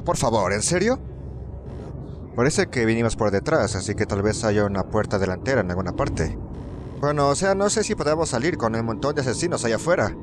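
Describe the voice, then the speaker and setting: A man's voice: mid-range voice, noisy background, close to an everyday voice. A man with a Latin American Spanish accent playing an uneasy, sarcastic young company employee in a conversational game dub. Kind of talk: conversational video game fan-dub acting.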